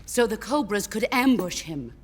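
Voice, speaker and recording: A woman's voice: mid-range voice, very animated, normal speed, close to an everyday voice. An actress playing a composed, guarded female agent in a video game drama. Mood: cool, guarded. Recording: reverberant hall, noisy background.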